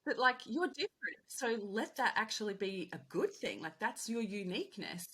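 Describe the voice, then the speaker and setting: Husky woman coach on a podcast, energetic, does little voices for the inner critic. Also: a little high, moderate pace. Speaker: podcast guest, mindset coach. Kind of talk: casual interview chat.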